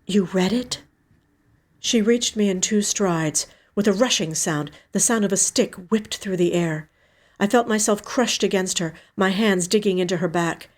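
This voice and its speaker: A woman reading a short story in the first person, with measured, somber, dramatic narration. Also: medium pitch.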